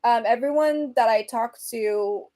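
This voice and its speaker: A woman's voice: fairly high pitch, measured pace, warm tone. Venezuelan-accented woman chatting with her viewers. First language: Spanish. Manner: chatty, reads comments aloud, frequent fillers and laughs. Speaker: host. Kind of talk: casual livestream chat.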